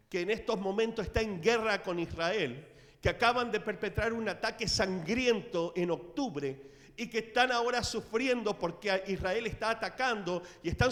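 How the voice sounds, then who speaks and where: A middle-aged man preaching a sermon in a church, telling the story with dramatic swings in loudness. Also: high-pitched. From Latin America. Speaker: pastor. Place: church sanctuary. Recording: reverberant church hall.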